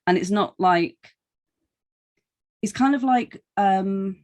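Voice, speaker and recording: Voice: medium pitch, takes it slowly. British podcast host, wry and conversational. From North East Lincolnshire, England. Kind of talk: casual conversational interview.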